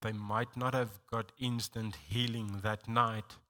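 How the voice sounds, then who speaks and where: A South African man leading a church service, talking with halting, stammering restarts. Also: lowish voice, little pitch movement, sharp tone. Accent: South African English. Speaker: pastor. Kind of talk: sermon-like church talk.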